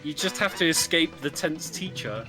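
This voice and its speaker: Higher-voiced man co-commentating over game music. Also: expressive, normal speed. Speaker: speedrun co-commentator. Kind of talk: casual chatty game commentary.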